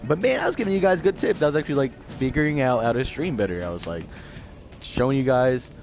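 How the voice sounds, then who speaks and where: A man live-streaming a game with casual, profane commentary, his voice raspy and hoarse from a sore throat. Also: mid-range voice, animated, quick pace, darkish tone. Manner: loose, profane, rambling. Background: game music.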